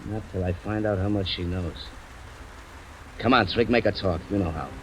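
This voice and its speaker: An actor playing a hard, impatient gang lieutenant in an old radio drama, curt and bossy with underworld slang. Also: male voice, on the low side, moderate pace, lightly acted. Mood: menacing. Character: Rankin, hard impatient male counterfeit gang boss. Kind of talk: 1930s radio drama acting. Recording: noisy old radio recording with rain.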